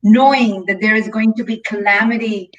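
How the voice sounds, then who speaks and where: A woman's voice: mid-range voice, steady tone, moderate pace, dull audio. A middle-aged woman talking thoughtfully and conversationally as a panelist on a video call from home. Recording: distant mic, noisy home video call.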